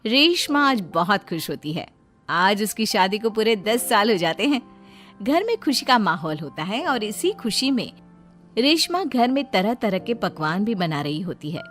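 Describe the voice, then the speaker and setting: A woman's voice: medium pitch, big pitch swings, a little slow, fairly bright tone. A woman narrating a cartoon story from a script as the storyteller. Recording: music behind the voice.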